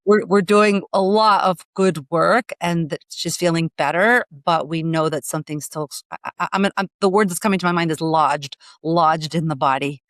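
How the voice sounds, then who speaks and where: A woman's voice: lowish voice, fairly even intonation, moderate pace, hardly any pauses, fairly bright tone, no room sound, studio quality. A woman physician hosting a podcast interview, reflective and searching aloud for the right words.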